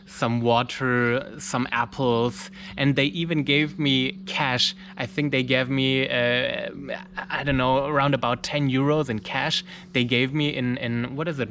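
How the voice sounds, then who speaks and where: A man's voice: medium pitch, fairly bright tone, slight background noise. A man, telling a travel story in casual English, searching for words with frequent restarts and fillers. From Germany. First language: German. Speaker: mountaineer vlogger.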